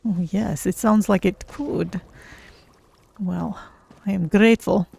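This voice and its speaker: A mild, thoughtful cat-person mage, put on by a woman for a game roleplay. The voice is soft-edged and dark with plenty of creak. The phrasing is measured and formal. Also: mid-range voice, steady tone, full character voice. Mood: hesitant.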